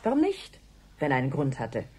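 An actress playing a sceptical, sharp-tongued, logical woman in a German radio play, brusque and sardonic in manner. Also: extremely low pitch, wildly swooping pitch, a little fast, slightly rough, exaggerated voice. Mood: dismissive.

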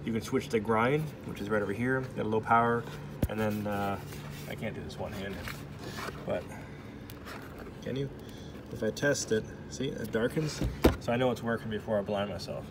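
A man casually reviewing his welding helmet, talking in a halting, rambling way with self-corrections and small jokes, recorded on a handheld phone in a workshop. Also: lowish voice, background noise. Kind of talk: casual off-the-cuff product review. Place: workshop, indoors. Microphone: phone camera held in hand.

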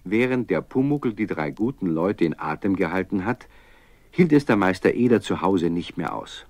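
A man's voice: low-pitched, animated, normal speed, darkish tone, dry recording. A man narrating a children's radio play in a scripted storytelling style, as its storybook narrator.

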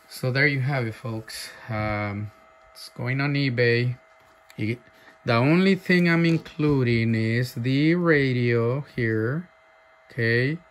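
A man casually showing off a radio he is selling, talking haltingly with many fillers and breaking into loud clipped mic tests. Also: mid-range voice, a little hiss or hum. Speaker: seller demonstrating a radio. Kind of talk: casual off-the-cuff product demo. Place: indoor workshop bench.

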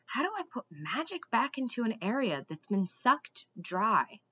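A woman voicing an earnest, mild-mannered male magician in a storybook, with a thoughtful, measured manner. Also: on the high side, very animated, pushed and bright, slightly rough, muffled recording, exaggerated voice. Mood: musing. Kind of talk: audiobook character voice.

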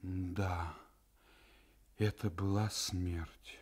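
Slow solemn russian guy reading a novel on old studio radio, gets urgent then drops to a whisper. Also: low voice, flat delivery, breathy edge. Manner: measured and solemn, rising to urgent intensity, dropping to hushed whispers. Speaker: narrator reading a classic novel.

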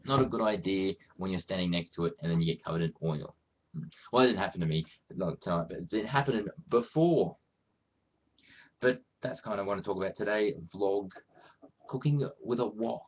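A man's voice: low voice, brisk, highs cut off. A man with an Australian accent chatting casually in a rambling, self-deprecating way, as a vlogger talking to viewers. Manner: rambling, self-deprecating, often acting out imagined quotes. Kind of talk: casual chat to camera.

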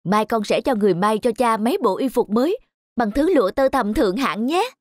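A woman voicing a smug, greedy middle-aged male official in an animated folk tale, boastful and brash. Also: a little high, a little fast, dry recording, clean studio sound.

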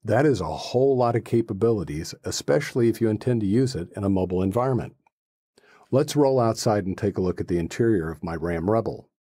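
A middle-aged man presenting a how-to in a measured, matter-of-fact manner. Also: fairly low pitch, warm tone, no room sound, studio quality. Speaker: host presenting a radio installation.

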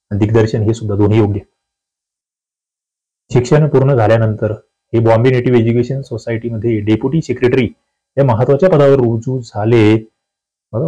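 Maharashtrian male coaching teacher, mellow low-brightness voice. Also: poor audio. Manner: instructive, addresses students directly, repeats key phrases. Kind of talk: exam question walkthrough lesson.

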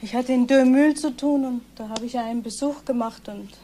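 A woman's voice: fairly high pitch, fairly quick, dark tone, close to an everyday voice. A woman acting a guarded witness in a crime radio play. She is reserved and matter-of-fact, with faint noise behind her. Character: reserved woman, bereaved niece questioned by police.

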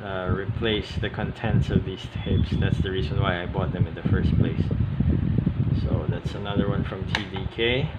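A man giving a casual, unscripted show-and-tell commentary, speaking haltingly with frequent pauses mid-sentence. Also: low-pitched, dull audio. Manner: halting, hesitant, pausing often mid-sentence. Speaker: hobbyist video host. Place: indoors at a tabletop. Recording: steady loud air-conditioning hiss, handling clicks. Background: loud background noise, clicks.

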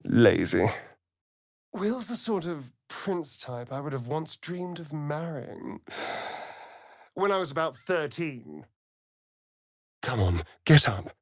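A sardonic, vain vampire rogue from a video game, who speaks in a slow, husky drawl. His delivery is arch and flirtatious, with theatrical swoops in pitch. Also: sing-song, no room sound, clean studio sound, exaggerated voice. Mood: arch, teasing. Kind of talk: video game character acting.

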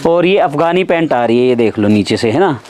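A man's voice: medium pitch, expressive, brisk, darkish tone, slight background noise. A man hosting a shopping vlog, enthusiastically praising items and explaining margins to viewers. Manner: enthusiastic, keeps praising with "bahut badhiya". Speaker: vlogger host. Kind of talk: casual vlog commentary. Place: indoor clothing warehouse.

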